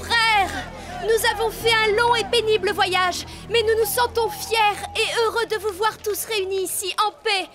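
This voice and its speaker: A very high, youthful heroine, a brave cartoon princess who speaks with earnest, declamatory dignity. Soft music plays under the voice. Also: female voice, fairly even intonation, normal speed, a crowd in the background. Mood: solemn, proud. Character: young noble Native princess, brave envoy of peace. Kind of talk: French cartoon dubbing.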